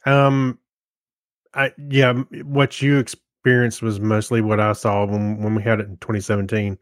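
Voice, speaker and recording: A man's voice: fairly low pitch, little pitch movement, normal speed, no room sound, studio quality. An American man co-hosting a podcast, chatting casually and informally with joking interjections. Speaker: podcast co-host.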